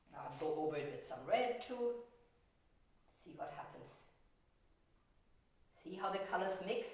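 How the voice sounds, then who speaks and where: A woman teaching and telling stories to children in a simple, unhurried, reassuring way. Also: fairly low pitch, a little mellow. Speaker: painting teacher and storyteller. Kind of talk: gentle children's art lesson and storytelling. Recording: echoey room, distant microphone.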